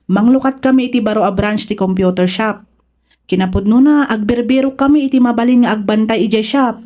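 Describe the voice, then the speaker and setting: A woman's voice: a little high, brisk, mellow, natural voice. A woman with an Ilocano accent playing a generous, encouraging middle-aged aunt from the city in a radio drama. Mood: friendly, gracious. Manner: reassuring, encouraging.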